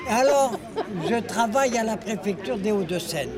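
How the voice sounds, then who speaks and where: A man's voice: high-pitched, moderate pace. Chatty elderly man from Algeria, crowd around. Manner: lively, chatty, laughs while telling. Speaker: storyteller among friends. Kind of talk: casual anecdote. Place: outdoor gathering. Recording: outdoor home video with busy crowd.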